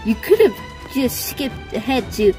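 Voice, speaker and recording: A woman's voice: on the high side, big pitch swings, a little slow, music in the background. A woman voicing a cocky, casual young male hedgehog hero in an amateur cartoon comic dub, slangy and wry.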